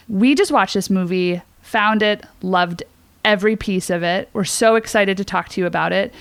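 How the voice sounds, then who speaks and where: Woman podcast host, steady questions, dry close mic sound. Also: medium pitch, no echo. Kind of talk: interview questions.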